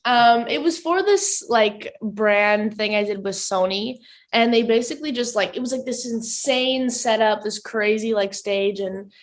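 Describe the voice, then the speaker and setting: A young woman with a soft, raspy voice, chatting casually as a singer guest in a radio interview, full of "like" fillers. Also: fairly high pitch, a little slow. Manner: chatty, lots of "like" fillers, giggly.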